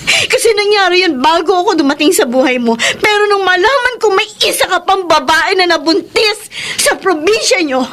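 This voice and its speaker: A woman's voice: very high-pitched, lively intonation, moderate pace, hardly any pauses, a little hiss or hum. A Filipino actress playing a hurt, reproachful wife confronting her husband in an emotional radio drama. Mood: tearful, betrayed. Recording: loud dramatic music under the voice.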